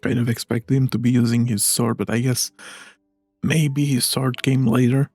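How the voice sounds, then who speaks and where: Gravelly, husky man commenting on a game. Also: medium pitch, little pitch movement, normal speed, darkish tone, dry recording, soft background music. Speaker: gaming YouTuber. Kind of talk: casual reaction commentary over a game.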